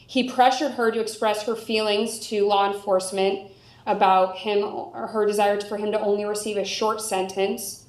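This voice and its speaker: A woman's voice: medium pitch, fairly even intonation, normal speed, a little mellow. Echoey American woman prosecutor, formal. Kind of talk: formal courtroom argument. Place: indoor courtroom. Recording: echoey room sound.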